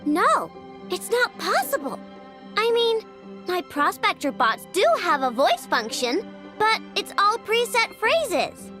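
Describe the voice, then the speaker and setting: A woman's voice: very high voice, very animated, slow pace, heavily acted. A woman playing a confident, curious young girl inventor in a video game. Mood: curious, thoughtful. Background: game music.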